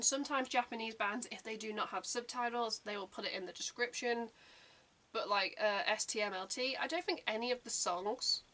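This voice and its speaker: A woman's voice: mid-range voice, pushed and bright. A young adult woman hosting a reaction channel, talking casually in a rambling, chatty way with lots of fillers.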